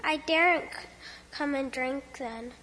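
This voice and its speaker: A woman's voice: high voice, slow pace, sharp tone, in a large hall, slight background noise, voice pushed up, full character voice. A woman voicing a frightened, thirsty young schoolgirl who speaks timidly and hesitantly.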